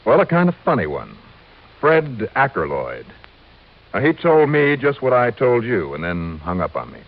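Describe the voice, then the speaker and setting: A man's voice: medium pitch, huge pitch swings, normal speed. An American man playing a wry, dogged insurance investigator in a 1950s radio drama, narrating in clipped, punchy phrases. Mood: insistent. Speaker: lead actor and narrator. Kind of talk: 1950s radio detective drama acting, first-person narration. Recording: muffled vintage radio recording with music.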